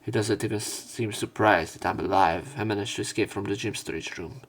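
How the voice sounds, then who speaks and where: A man's voice: fairly low pitch, brisk. A man reading visual novel narration aloud in a subdued way, as a let's player.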